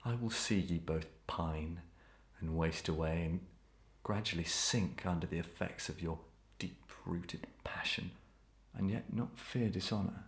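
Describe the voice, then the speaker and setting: Actor as tormented melodrama murderer-husband. Low male voice, imperious archaic declamation, strongly roomy recording. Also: unhurried. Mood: commanding, bitter. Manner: commanding, grandiose archaic declamation. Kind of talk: old-fashioned melodramatic stage acting.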